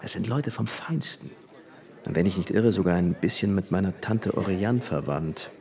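An actor playing a flippant, worldly young French aristocrat and army officer in a radio play, chatting with easy familiarity. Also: male voice, low-pitched, moderate pace, a little mellow. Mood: worldly, casual. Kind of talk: German radio play dialogue. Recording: long hall reverb.

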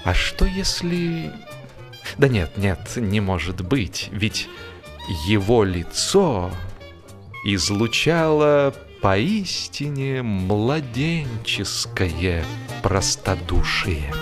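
A man narrating a humorous audiobook in an expressive, theatrical way with playful pauses. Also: lowish voice, soft background music.

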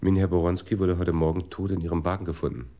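An actor playing a laconic, dry-humoured police detective with a terse, businesslike delivery in a radio play. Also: male voice, deep voice, flat delivery, fast talker, warm tone, lightly acted. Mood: official, blunt.

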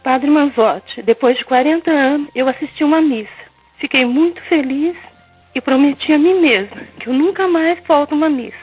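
A middle-aged woman from Paraná, Brazil, with a Brazilian accent and a very husky voice, giving a personal religious testimony. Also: sits high, normal speed, no echo. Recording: tinny phone-like line, faint music behind.

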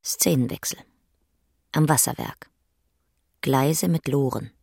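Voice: medium pitch, takes it slowly, creaky, a little mellow, slightly rough, dry recording, studio quality. A narrator reading clipped, scripted stage directions in a drama production.

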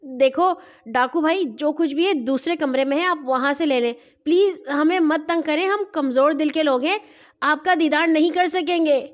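A woman's voice: high voice, animated, pitched above the performer's own voice. A woman voicing a cheeky young boy in a storybook, boastful yet easily scared. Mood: nervous pleading. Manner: cheeky, pleading.

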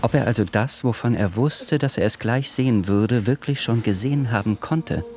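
A man narrating a radio drama in a calm, measured way. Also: medium pitch, slight background noise.

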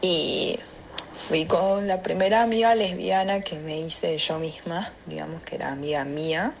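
A woman's voice: fairly low pitch, steady tone, measured pace, gravelly. Woman, noisy audio message. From Salta, Argentina. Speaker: contributor of a recorded audio message. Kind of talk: casual personal anecdote. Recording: background noise and ambient sound.

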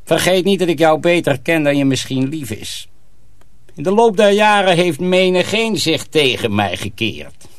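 An actor playing a sinister, commanding sorcerer miller in a radio drama, persuasive and scornful. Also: male voice, a little high, animated, normal speed, dry recording, natural voice. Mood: menacing.

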